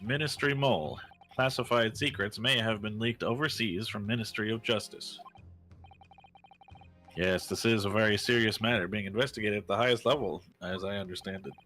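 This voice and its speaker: A man's voice: lowish voice, moderate pace, bright, forceful tone, soft background music. A man voicing a stern, pompous older judge in an amateur courtroom game dub, grave and declamatory.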